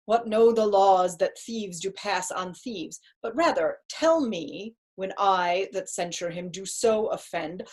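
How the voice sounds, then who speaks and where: Woman as strict unbending judge in shakespeare, bit rough voiced, measured n commanding. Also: mid-range voice, a little mellow. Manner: measured, authoritative. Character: stern, unbending male deputy ruler and judge. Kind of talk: Shakespearean staged reading.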